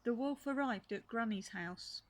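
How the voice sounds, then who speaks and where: An older woman, a grandmother, reads a children's storybook aloud, adding gentle asides for young listeners. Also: on the high side, a little slow. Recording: faint background noise.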